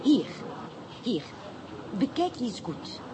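An elegant Frenchwoman in a radio drama, playful and theatrical with a French accent. Her intonation swings widely as she drops in French phrases, over clearly audible noise. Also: a little high, sing-song, slow pace. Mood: triumphant. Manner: coquettish, theatrical, peppering talk with French phrases. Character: elegant, playful, clever French wife of police inspector. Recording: clearly noisy radio play recording.

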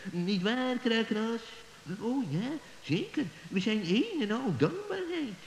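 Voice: mid-range voice, big pitch swings. Fawning animal henchman, swooping voice. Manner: slow, obsequious, swooping. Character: fawning animal henchmen of a witch, fox and crow. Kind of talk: children's radio play acting. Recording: hissy old recording, echoey hall reverb.

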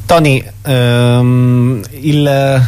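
A man's voice: mid-range voice, little pitch movement, drawn-out words, a little mellow, slight background noise. A man interviewing in a conversational way, hesitantly searching for his words. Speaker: radio interviewer.

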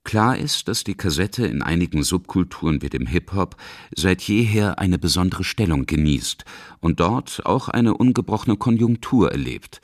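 A man reads scripted radio feature narration in a very low voice. He speaks as the reporter guiding listeners through a documentary. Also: animated, normal speed, no echo, studio quality.

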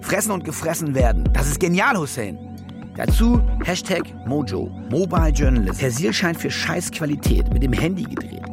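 An actor playing a cynical, self-justifying German war reporter in a radio drama, wry and rambling, with hesitations and restless bursts. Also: male voice, fairly high pitch, sing-song, normal speed, music in the background. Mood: excited, cynical. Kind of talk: naturalistic radio drama monologue and dialogue.

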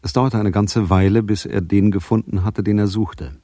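A man's voice: lowish voice, fairly even intonation, moderate pace, warm tone, no echo, studio quality, natural voice. An actor playing a private detective who narrates his case in a sober, matter-of-fact way for a radio play. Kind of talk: scripted first-person radio play narration.

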